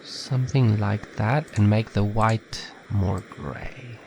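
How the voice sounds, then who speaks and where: Low, gravelly man with a head cold. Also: takes it slowly, slight background noise. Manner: relaxed, chatty step-by-step instructions with fillers. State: slightly nasal from a head cold. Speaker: tutorial maker. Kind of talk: casual screen-recorded software tutorial.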